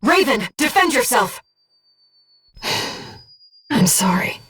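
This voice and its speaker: An actress playing a gentle, devoted female voice in a pilot's head, a composed and precise mission guide in a video game. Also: fairly high pitch, sing-song, extremely slow, husky, recorded from a distance, soft background music, exaggerated voice. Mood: urgent, then soft, relieved. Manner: composed, precise, earnest delivery. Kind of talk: video game voice acting, tactical briefing.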